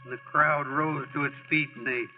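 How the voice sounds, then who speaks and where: An actor playing a folksy, sorrowful American storyteller narrating a 1940s radio drama. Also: male voice, fairly high pitch, flat delivery, moderate pace, gravelly, over loud music. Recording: muffled old radio recording.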